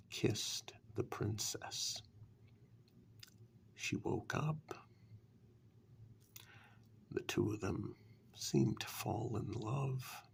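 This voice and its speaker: A condescending warlock, acted out by a man speaks softly and very slowly in a low voice full of heavy creak. He sounds taunting and deliberate, as in a dark fairytale ASMR role-play. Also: heavily acted. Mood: mocking, accusing. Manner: slow, deliberate, taunting with rhetorical questions. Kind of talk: soft-spoken ASMR role-play monologue.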